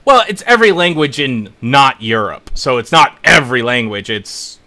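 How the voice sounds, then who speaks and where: Bright-voiced male podcast host. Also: mid-range voice, expressive, moderate pace. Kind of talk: casual tabletop gaming chat.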